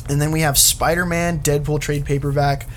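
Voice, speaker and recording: A man's voice: on the high side, level tone, moderate pace, a little hiss or hum. A man hosting a casual comic book podcast in an informal, enthusiastic manner. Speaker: podcast host.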